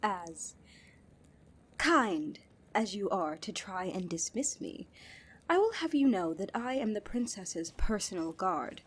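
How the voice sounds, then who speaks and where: A woman's voice: medium pitch, breathy edge. A woman playing a loyal, guarded royal knight in a fantasy audio drama, speaking formally and with composure. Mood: firm, guarded. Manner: formal, composed, coolly defiant. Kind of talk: fantasy audio roleplay acting.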